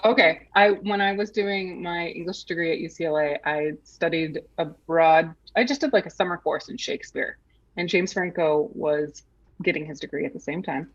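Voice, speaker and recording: A woman author speaks over a video call in a lowish voice, and the remote line sounds slightly dull. She tells stories in a relaxed way and stops to rethink her phrasing. She laughs easily with the hosts. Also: moderate pace. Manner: relaxed anecdotal storytelling with hesitations. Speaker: author guest. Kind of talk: interview storytelling.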